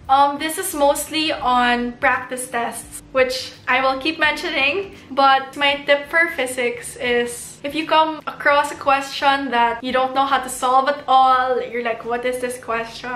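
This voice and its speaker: A woman's voice: high-pitched, bright, forceful tone. A young Filipino woman in her twenties giving chatty, upbeat study tips in a vlog from her bedroom, her voice getting worn. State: losing her voice. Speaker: vlogger giving test tips. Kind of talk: casual advice vlog. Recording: echoey room.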